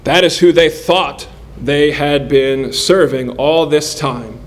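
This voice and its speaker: A man in his forties delivers a sermon at an unhurried pace. His voice sits slightly high for a man, and he speaks earnestly, pausing between phrases to let each point land. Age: 40s. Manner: earnest, measured delivery with pauses. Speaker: preacher.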